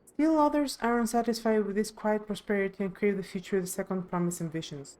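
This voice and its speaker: Woman doing a loyal young villager from a video game, thoughtful, measured, explains stuff, mellow voice. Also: medium pitch, fairly even intonation, close to an everyday voice. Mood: grave. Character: thoughtful young villager, steadfast ally of the heir.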